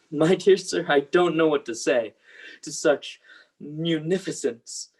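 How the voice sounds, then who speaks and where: A young performer playing a meek, flustered Victorian gentleman in a 1930s-style radio play, hesitant and deferential, with a husky voice. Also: medium pitch, takes it slowly. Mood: astonished. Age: young adult.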